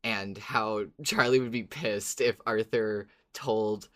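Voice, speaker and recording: A podcast co-host in casual, reflective chat, agreeable and hesitant, feeling out each thought in halting phrases. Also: medium pitch, steady tone, fairly bright tone. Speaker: podcast co-host discussing a fiction series.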